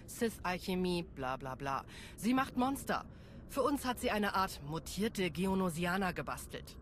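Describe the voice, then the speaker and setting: A woman's voice: on the low side, a little hiss or hum. Cocky, sardonic woman warrior. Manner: sardonic, confident. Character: tough, cocky female security chief and warrior. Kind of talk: German video game dub acting.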